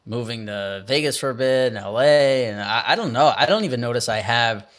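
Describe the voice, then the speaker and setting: A man's voice: mid-range voice, animated, fairly quick, fairly bright tone. A man co-hosting a podcast, telling loose, profane stories in casual chat with lots of fillers. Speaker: podcast co-host. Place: away in a cold city, remote call.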